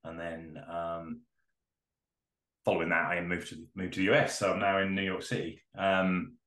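A man's voice: low-pitched, completely flat delivery, normal speed, a bit bright, echoey room. A middle-aged man from Norfolk with a Norfolk accent, a podcast guest chatting casually and rambling with lots of fillers and restarts. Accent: Norfolk English. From England (Norfolk). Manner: rambling, self-correcting, many fillers.

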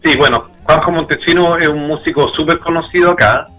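A man's voice: on the high side, steady tone, fairly quick, over music, rough recording. A Chilean man from Santiago talking casually and candidly as a musician being interviewed, in a reflective, colloquial way.

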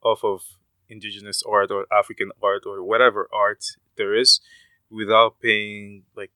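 A man from Congo talking as a panelist in a casual group discussion, reasoning aloud in a measured way. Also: on the low side, little pitch movement, bright, forceful tone, dry recording, poor audio. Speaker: podcast panelist.

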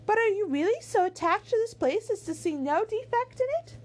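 A genteel middle-aged mother in a period storybook, played by a woman reader, pitched very high. She is effusive and gracious, speaking in earnest, formal phrases. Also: lively intonation, brisk.

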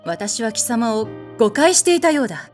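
A woman's voice: on the high side, big pitch swings, normal speed, faint music behind. A woman playing a haughty, blunt warrior goddess in a comedic audio drama, speaking in an imperious, curt way with a protective streak. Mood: solemn. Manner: imperious, commanding, curt masculine phrasing.

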